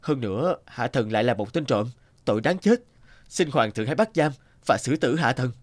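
Người đàn ông vào vai tên trộm nghĩa hiệp, giọng sinh động. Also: male voice, medium pitch, lively intonation, fairly quick, no room sound, lightly acted. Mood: humble. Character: chivalrous male thief, principled, bold and protective. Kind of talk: dramatised folk tale cartoon dubbing.

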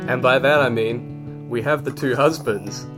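Man with an average-pitched voice, needling comic podcast banter, music clearly playing behind. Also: a little slow. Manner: teasing, needling. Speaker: podcast co-host.

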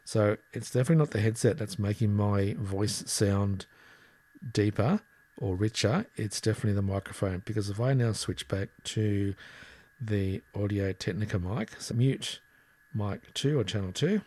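A man's voice: on the low side, moderate pace, darkish tone, no echo. A man casually demoing a broadcast headset against a desktop mic, walking through each part step by step and speaking through both mics in turn. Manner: plain, methodical, explaining step by step. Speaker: gear reviewer. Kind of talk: casual product demo. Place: room with air conditioner running. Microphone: headset boom mic, then desktop dynamic mic.

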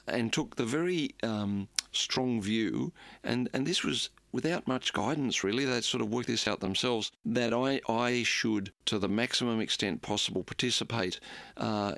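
An Australian man from Sydney recalling his own life in measured, halting phrases as an interviewee. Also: fairly low pitch, gravelly, fairly bright tone, dry recording. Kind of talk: reflective personal recollection.

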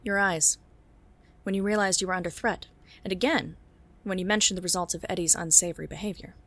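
A woman playing a dry, low-voiced noir detective. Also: brisk, a bit bright. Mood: cool. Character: sharp-eyed woman private detective, dry and composed. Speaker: detective and narrator. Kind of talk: noir audio drama acting.

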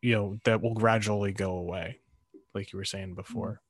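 A man with a fairly low voice, recorded dry with little room sound. He talks casually, working out his opinions aloud in halting, restarted phrases. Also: steady tone, husky, no echo. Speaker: karate practitioner in discussion. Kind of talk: casual conversation.

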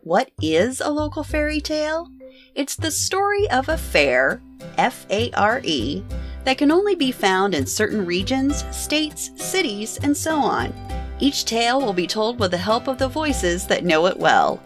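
A woman narrating a podcast in a clear, scripted way. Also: on the high side, sing-song, unhurried, soft background music.

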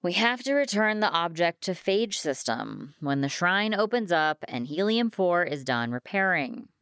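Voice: medium pitch, very animated, unhurried, fairly bright tone, smooth, dry recording, clean studio sound, voice pushed up. A woman voicing a nervous, vulnerable sixteen-year-old junior private who is easily exasperated, in an audiobook story. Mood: uncertain.

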